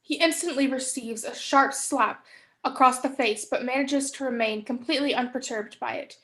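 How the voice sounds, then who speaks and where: A woman's voice: sits high, normal speed, fairly bright tone. A young woman reading stage directions as scripted narration in a play reading. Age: young adult.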